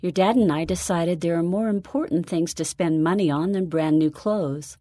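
A woman voicing a gentle, patient, understanding mother in a children's story. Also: lowish voice, normal speed, no echo, clean studio sound, natural voice. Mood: explaining. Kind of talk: children's story dialogue reading.

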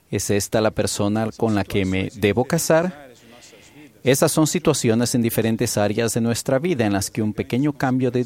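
A man delivering a formal church conference sermon in the words of an interpreter, in a measured way. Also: on the low side, darkish tone.

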